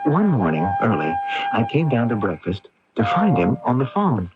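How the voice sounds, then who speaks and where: An actor playing a polite, earnest young medical graduate in a radio drama, with a diffident, halting manner. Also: male voice, mid-range voice, wildly swooping pitch, over music. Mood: reflective. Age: young adult. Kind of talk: radio drama narration and dialogue. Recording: dull, noisy vintage AM radio broadcast.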